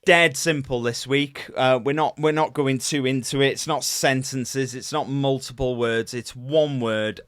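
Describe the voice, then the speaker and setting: A man co-hosts a casual podcast and talks in an animated, rambling way, full of fillers and restarts. His voice sits in a middle pitch range. The recording is dry and close-miked. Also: a little slow, hardly any pauses, clean tone, no echo. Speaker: podcast co-host.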